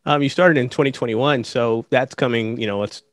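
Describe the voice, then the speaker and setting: A man hosting an interview, asking questions in a measured way with hesitations. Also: mid-range voice, steady tone, no echo, studio quality. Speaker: interviewer, host.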